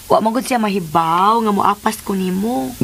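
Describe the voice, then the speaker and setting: An actress playing a young, affectionate and proud pregnant wife in a radio drama. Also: female voice, mid-range voice, normal speed, vocal fry. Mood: affectionate, teasing.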